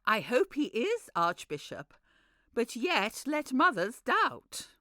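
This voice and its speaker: World-weary royal grandmother, high and slow. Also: wildly swooping pitch, pushed and bright, dry recording, clean studio sound, full character voice. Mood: doting, wry. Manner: slow, theatrical, lamenting verse delivery. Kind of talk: Shakespearean audio drama acting.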